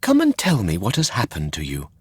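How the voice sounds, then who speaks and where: A man voicing a gentle, motherly female fairy in a storybook, soft, coaxing and patient. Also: sits low, wildly swooping pitch, brisk, breathy edge, faint music behind, exaggerated voice. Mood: gently probing.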